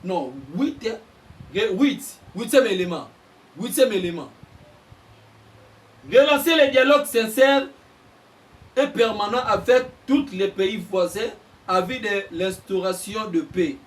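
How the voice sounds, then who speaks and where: A Congolese man, a journalist and political analyst, giving impassioned commentary and getting louder as he presses his points. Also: high-pitched, slow pace, long pauses, distant mic. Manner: heated, mixes French and Lingala, bursts louder on points.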